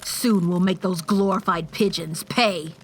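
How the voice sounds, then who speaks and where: A woman's voice: lowish voice, steady tone, unhurried, slight background noise. A woman playing a haughty, scheming changeling queen and older sister in an audio drama, boastful and condescending. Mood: vengeful, dismissive. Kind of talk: fan audio drama acting.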